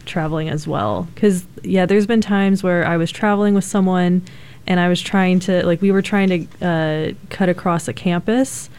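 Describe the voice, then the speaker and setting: A young woman chats casually in a group discussion, leaning on "like" and "um" as she thinks aloud. Her voice is low-pitched for a woman and smooth. She keeps an easygoing, unhurried rhythm. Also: steady tone, a little mellow. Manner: casual, filler-heavy with frequent 'like'.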